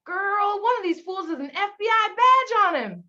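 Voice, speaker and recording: A woman's voice: very high-pitched, animated, normal speed, bright, forceful tone, dull audio, exaggerated voice. A woman acting a brash, bossy, streetwise criminal in a script table read. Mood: brash, commanding.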